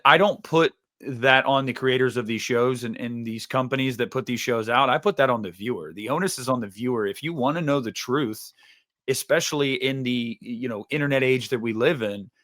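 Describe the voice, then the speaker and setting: A man's voice: mid-range voice, sharp tone. Emphatic American man with a mid-range voice. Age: young adult. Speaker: podcast co-host. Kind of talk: opinionated podcast discussion.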